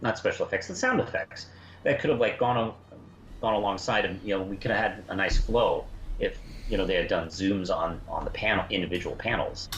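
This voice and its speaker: A man's voice: low voice, fairly quick, fairly bright tone. A middle-aged man chatting casually as a podcast co-host, rambling through his points with frequent asides. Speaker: podcast co-host reviewing a fan audio drama. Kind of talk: casual review chat. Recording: echoey, muffled, faintly noisy room.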